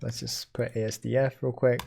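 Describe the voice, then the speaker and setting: A man giving a casual software code-along, thinking aloud informally as he types. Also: mid-range voice, flat delivery, moderate pace, gravelly, breathy edge. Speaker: software tutorial instructor.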